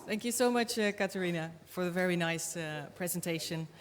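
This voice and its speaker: A woman's voice: lowish voice, brisk. A woman moderating a conference, politely introducing speakers with hesitant uhs. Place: conference hall. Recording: reverberant hall with clear background noise.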